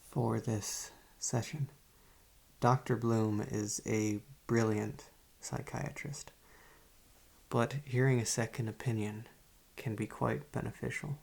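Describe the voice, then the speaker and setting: Psychiatrist, male actor, mid-pitched and husky, formal and gently probing, measured soft delivery for ASMR roleplay. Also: heavily acted. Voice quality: creaky, husky. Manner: measured, polite, clinical, soft-spoken. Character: calm, formal, probing male psychiatrist interviewing a young patient. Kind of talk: ASMR roleplay, soft-spoken scripted drama.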